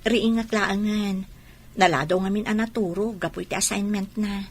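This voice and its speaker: A woman's voice: medium pitch, fairly even intonation, moderate pace, slight background noise, natural voice. A woman with an Ilocano accent playing a wife and mother in a radio drama. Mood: warm. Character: hurt wife and mother on her birthday. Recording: light music underneath.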